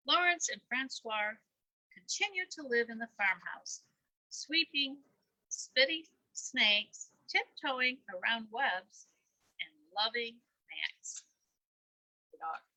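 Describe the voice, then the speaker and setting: Older woman, very bright voice, animated storytelling. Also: on the high side, slow pace, no room sound, low-quality recording. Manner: expressive, asks playful questions. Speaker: volunteer grandparent storyteller. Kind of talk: read-aloud children's story with asides to young listeners.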